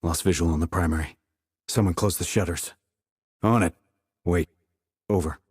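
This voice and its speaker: An actor playing a terse, dry, confident military sniper in a video game, with a husky voice and clipped, controlled reports. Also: male voice, low-pitched, normal speed, a little mellow, dry recording. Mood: tense focus. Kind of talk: scripted military game dialogue.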